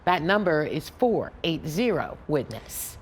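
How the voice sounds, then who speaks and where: A woman's voice: sits low, very animated, unhurried, husky. A woman news anchor reading scripted stories on television.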